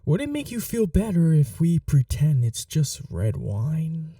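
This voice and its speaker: Man playing a dark-voiced, seductive vampire lord. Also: fairly high pitch, big pitch swings, unhurried, dry recording, studio quality, far from the mic, full character voice. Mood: taunting, menacing. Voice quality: soft and breathy, often dropping to whispers. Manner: courtly, teasing, menacing politeness. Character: sadistic, possessive male vampire lord, suave seductive villain. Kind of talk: ASMR roleplay audio drama.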